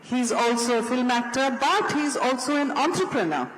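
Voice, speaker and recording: A moderator introducing panelists in a formal, deliberate way at a conference. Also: sits high, animated, a bit bright. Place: large conference hall. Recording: echoey hall with faint room noise.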